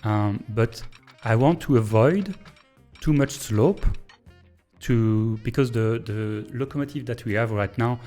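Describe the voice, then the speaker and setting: A man with a French accent, a native French speaker, thinking aloud in a hesitant, pause-filled way as he streams and comments on a train-building game. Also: medium pitch, mellow, faint music behind. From Belgium. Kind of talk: casual live-stream gameplay commentary.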